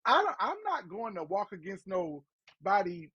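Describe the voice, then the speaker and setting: A man arguing on a panel in an emphatic, animated way. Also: sits high, a little slow, slightly rough, no echo. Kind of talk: heated panel argument. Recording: dull lo-fi dry audio.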